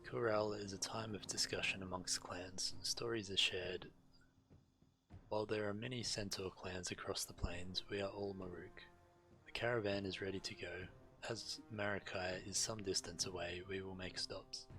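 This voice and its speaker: Casting: male streamer, low but forceful voice, reads game quest text aloud, a little music behind. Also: steady tone, normal speed, poor audio.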